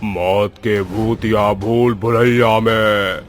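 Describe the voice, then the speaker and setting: A man voicing a menacing severed-headed male ghost in a horror story, with a drawn-out, threatening delivery. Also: on the low side, steady tone. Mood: gloating menace. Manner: slow, drawn-out menacing delivery with evil cackles. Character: menacing severed-headed ghost, growling villain of a haunted maze. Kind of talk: dramatised Hindi horror story acting. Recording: music under the voice.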